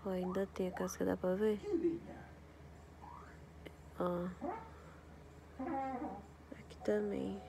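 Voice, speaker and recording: A young woman vlogging casually to her phone camera, congested with a flu and a scratchy throat, explaining her skin recovery slowly. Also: medium pitch, flat delivery, creaky. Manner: talks slowly through skin recovery, showing details. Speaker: beauty vlogger. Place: inside a parked car, later a home sofa. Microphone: phone camera at arm's length.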